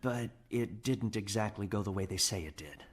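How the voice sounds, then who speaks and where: A man's voice: on the low side, moderate pace, long reverb tail, background noise, close to an everyday voice. A man playing a young, nervous but determined Imperial cadet leader in an audio drama. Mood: confiding. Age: young adult. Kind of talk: audio drama acting and narration.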